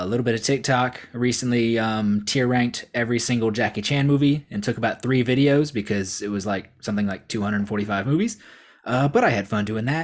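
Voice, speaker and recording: A man speaking as a debate contestant in casual, jokey, sweary banter. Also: medium pitch. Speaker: debate contestant, film YouTuber.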